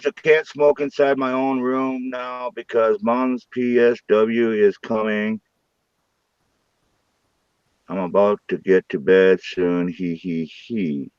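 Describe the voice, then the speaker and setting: A man with a St. Louis accent hosting a late-night live stream from his room, joking loudly and swearing freely. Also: lowish voice, slow pace, clean tone, dry recording, highs cut off. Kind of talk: casual live stream chat.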